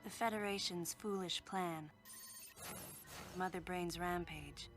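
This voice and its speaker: Game role: a stoic female bounty hunter, terse, slightly low voice, soft music underneath. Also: steady tone, slow pace. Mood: reflective. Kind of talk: game cutscene voice acting and inner monologue.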